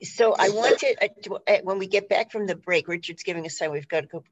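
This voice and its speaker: A woman hosting a panel interview, putting questions in a halting, conversational way over lo-fi audio. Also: medium pitch, no echo. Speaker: host. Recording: dry, dull lo-fi audio.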